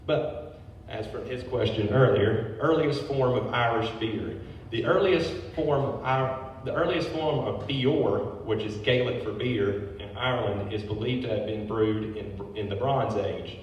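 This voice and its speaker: A man's voice: fairly low pitch, unhurried, hardly any pauses, highs cut off. A man, a brewery owner, giving a public history talk, reading from notes with casual asides. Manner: informal asides between read passages. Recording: very echoey hall, distant mic, faint noise.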